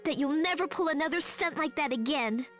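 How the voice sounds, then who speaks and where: An actress playing a teenage girl army medic in a video game, earnest, high-strung and tearful. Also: a little fast, faint music behind, lightly acted. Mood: sincere, subdued. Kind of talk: dramatic video game voice acting.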